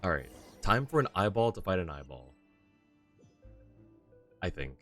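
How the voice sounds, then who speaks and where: Low-voiced chatty male streamer. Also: sing-song, measured pace, fairly bright tone, faint music behind. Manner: chatty, self-deprecating. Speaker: game streamer. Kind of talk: casual live-stream chat.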